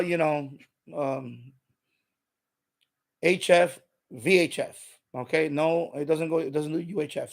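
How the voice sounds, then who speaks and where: A man's voice: high voice, vocal fry. A man hosting an unscripted hobby livestream, chatting in an emphatic, opinionated way and repeating himself to drive points home. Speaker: live stream host.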